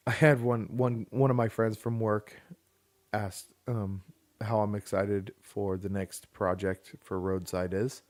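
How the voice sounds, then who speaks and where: A man's voice: on the low side, little pitch movement, unhurried, a little mellow. A man co-hosting a casual podcast, rambling with many fillers and restarts. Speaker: podcast co-host. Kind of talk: casual chat.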